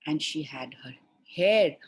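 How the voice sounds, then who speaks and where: A woman telling a fairy tale to children in an expressive style, with deliberate, dramatic pauses between short phrases. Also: deep voice, slight background noise. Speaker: storyteller for children.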